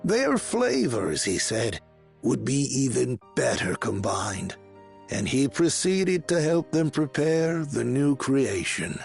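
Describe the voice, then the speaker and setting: A man's voice: mid-range voice, sing-song, gravelly, soft background music, exaggerated voice. An actor playing a solemn storyteller in a fantasy video game, speaking formally and theatrically as he recounts old legends. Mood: storytelling. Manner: formal, measured, theatrical delivery.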